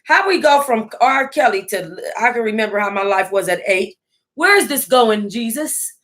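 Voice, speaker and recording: An American woman hosting an online book club, reading aloud casually in an animated, salty-tongued way and breaking off to react. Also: a little high, normal speed. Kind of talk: casual livestream read-aloud with running reactions.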